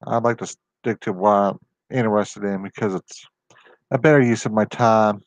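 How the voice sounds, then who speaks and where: A man's voice: fairly low pitch, fairly even intonation, normal speed, no room sound. A man hosting his own channel, talking through rambling tangents with exasperated complaints. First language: not Japanese. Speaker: video host. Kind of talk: casual solo commentary.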